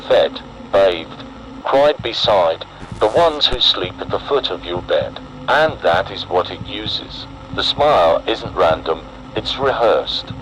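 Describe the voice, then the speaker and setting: A man with a fairly deep voice delivers a scripted emergency warning in analog horror style. His delivery is solemn, measured in tone and ominous. The recording carries obvious background noise. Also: highs cut off. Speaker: narrator.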